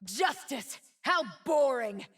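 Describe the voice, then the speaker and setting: A woman's voice: high voice, animated, fairly bright tone, exaggerated voice. An actress playing a bitter, wounded fallen winged woman in a video game, solemn and theatrical. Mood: scornful, defiant. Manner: slow, solemn, theatrical delivery. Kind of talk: dramatic video game champion voice lines.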